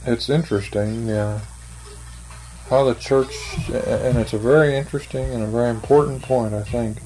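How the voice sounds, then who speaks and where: A woman being interviewed about her church's hymn singing, speaking thoughtfully and hesitantly with many pauses and fillers. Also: very deep voice, steady tone, mellow, low-quality recording. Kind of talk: oral history interview.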